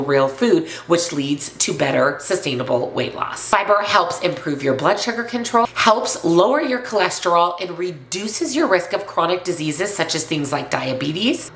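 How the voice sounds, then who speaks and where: A woman with a congested, slightly raspy voice from a cold, speaking earnestly and emphatically as a coach addressing viewers in an instructional vlog. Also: lowish voice, fairly bright tone, echoey room. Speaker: weight loss and nutrition coach presenting to viewers. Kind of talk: upbeat instructional vlog to camera.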